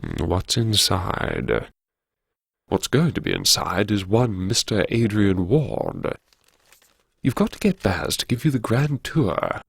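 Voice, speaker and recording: A man playing a wry, self-mocking university vice-chancellor narrating his memoirs in a gravelly, husky voice, savouring his words with arch, dramatic pauses. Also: on the low side, wildly swooping pitch, no room sound, heavily acted. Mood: wry, relishing. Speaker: narrator of a serialised audio story. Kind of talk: theatrical first-person audiobook narration.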